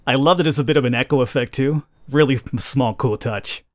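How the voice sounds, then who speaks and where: A man's voice: mid-range voice, brisk, darkish tone. Male gamer, mid-range voice, dramatic storytelling, let's play narration read aloud. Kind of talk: reading game narration aloud, with commentary.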